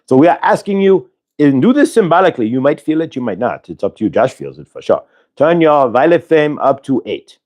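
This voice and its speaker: Guy doing a kindly spirit collective for an energy healing stream, weird vaguely indian put-on accent, stretches words out, declarative, mid pitch. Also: sing-song, a little mellow, lightly acted. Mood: playful, reassuring. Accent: affected, vaguely Indian-sounding put-on accent. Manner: declarative, speaks as "we", drawn-out emphatic words. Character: benevolent otherworldly spirit collective speaking through a channeler. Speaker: spiritual channeler. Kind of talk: live channeled energy healing session for an audience.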